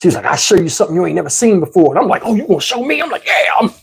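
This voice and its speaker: A man with a husky, slightly rough voice tells a personal story as the host of his own channel. His delivery is casual and slangy. He animatedly plays out both sides of conversations for comic effect. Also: high voice, very fast. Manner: animated, slangy, acts out dialogue.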